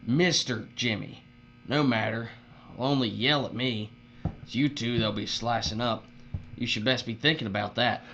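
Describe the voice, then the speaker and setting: An actor playing a folksy, boastful, bullying foreman with mocking turns of phrase in a dark dystopian audio drama. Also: medium pitch, normal speed, lightly acted. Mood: menacing. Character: cruel, folksy, boastful bullying foreman who fawns on bosses. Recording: dull audio with faint mains hum.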